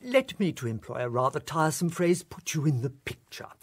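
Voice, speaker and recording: An actor playing a polished, discreet diplomat in a radio play, formal and persuasive, with a slightly breathy voice. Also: male voice, on the high side, sing-song, fairly quick, heavily acted. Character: polished discreet male diplomat, middle-aged. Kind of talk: 1960s radio drama acting.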